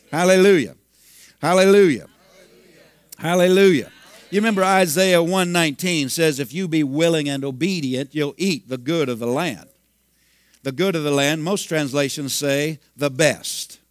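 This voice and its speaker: A man in his fifties preaching a sermon, telling a healing story and breaking into loud hallelujahs. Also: on the high side, no room sound. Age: 50s. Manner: storytelling, bursts into shouting and hallelujahs. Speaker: pastor.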